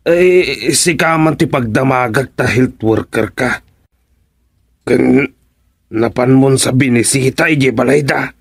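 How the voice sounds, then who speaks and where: Slow, halting, husky Filipino village official. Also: male voice, mid-range voice, no echo, clean studio sound, full character voice. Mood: probing, hesitant. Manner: halting, hemming and hawing. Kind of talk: Filipino radio comedy drama acting.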